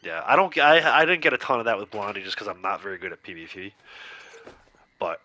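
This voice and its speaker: A man with a lowish voice and a bright, forceful edge chats casually with viewers while playing a game. A cold leaves him congested and slightly nasal. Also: sing-song, fast, no echo. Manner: chatty, reads out and answers viewer chat, laughs easily. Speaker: game streamer.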